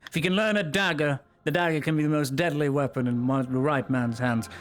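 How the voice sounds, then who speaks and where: A man playing a cold, zealous imperial enforcer in a live roleplay, scornful and commanding, dropping to whispers and rising to shouts. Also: fairly high pitch, a little fast, soft background music, close to an everyday voice. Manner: swings between soft whispers and sudden shouts. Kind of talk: live roleplay in a virtual world.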